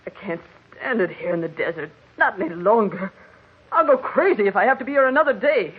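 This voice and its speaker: An actress playing a discontented, defiant American frontier wife in an old radio melodrama. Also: female voice, medium pitch, expressive, fairly quick, husky, narrow, tinny audio. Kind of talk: 1940s radio melodrama acting. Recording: thin, crackly old radio recording.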